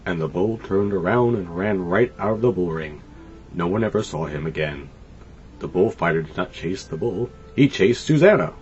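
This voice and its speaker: A man narrates a children's storybook in a fairly low voice that swings widely in pitch. His delivery is expressive and scripted. The sound is slightly dull, with music playing behind him. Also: normal speed. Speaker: storybook reader. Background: light music.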